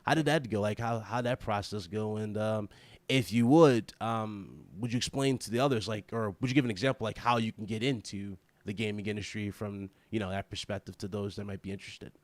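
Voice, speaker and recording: A man's voice: on the low side, steady tone, a little fast, no echo. A man hosting a live podcast interview, hyped and eager, often tripping over and repeating his words. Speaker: podcast host.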